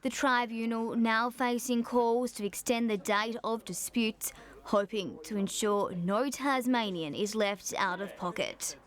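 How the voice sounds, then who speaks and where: A woman reporter reading a scripted news voice-over in a steady, formal way. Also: fairly high pitch, unhurried, a little hiss or hum.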